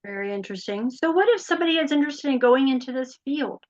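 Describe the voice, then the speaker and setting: A woman's voice: high voice, animated, fast talker, darkish tone, highs cut off. A woman interviewing in a conversational, curious and attentive way.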